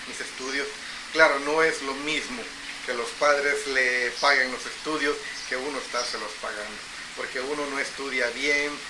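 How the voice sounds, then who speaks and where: A middle-aged man from El Salvador, telling his life story as an interview guest in a reflective, unhurried way, often repeating phrases. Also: mid-range voice, noisy background. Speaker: interview guest, agricultural engineer.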